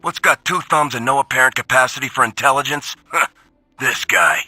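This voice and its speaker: Forceful, husky grumbling robot soldier. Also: male voice, medium pitch, moderate pace, no echo, soft background music. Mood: disgruntled. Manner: gruff, wry, complaining. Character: grumbling, sardonic male robot soldier giving war reports. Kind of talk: video game audio log acting.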